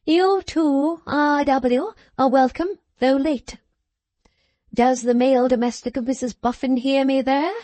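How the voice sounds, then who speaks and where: Woman reading as a majestic gloomy mother, solemn, slow, mellow, dry sound. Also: high voice, steady tone, no echo, voice pushed up. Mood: majestic gloom. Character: pompous gloomy middle-aged mother, majestic.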